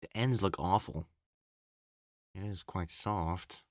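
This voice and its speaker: A man's voice: low voice, takes it slowly, no echo, studio quality. A man voicing an elegant, effeminate male matchmaker in a scripted audio roleplay, prim and formal, haughty yet easily flustered. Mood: haughty, instructive.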